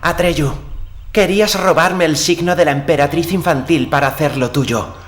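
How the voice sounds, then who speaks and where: Male narrator as a proud boy ruler, voice pushed high, imperious, grave, declamatory, wounded pride, fantasy storybook, music behind. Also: normal speed, fairly bright tone, natural voice. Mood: stern, accusing. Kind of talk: audiobook character acting in Spanish.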